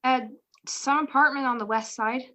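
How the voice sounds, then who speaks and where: A woman's voice: high voice, fairly even intonation, moderate pace, a bit bright. A woman playing a poised, teasing, affectionate detective's wife in a radio play. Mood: arch. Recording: muffled video-call recording.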